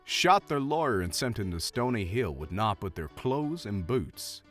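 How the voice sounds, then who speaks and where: A man acts as a folksy saloon bartender in a western audio play. His pitch swings around a lot as he gossips or placates, over faint background music. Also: a little slow. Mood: gossipy. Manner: folksy, animated. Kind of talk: Old West audio roleplay acting.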